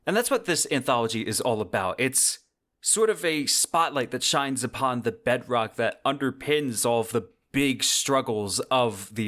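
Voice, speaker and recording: A man's voice: medium pitch, a bit bright. A man hosting a podcast, delivering a semi-scripted commentary monologue in a conversational, wordy way with emphatic phrasing. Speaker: podcast host.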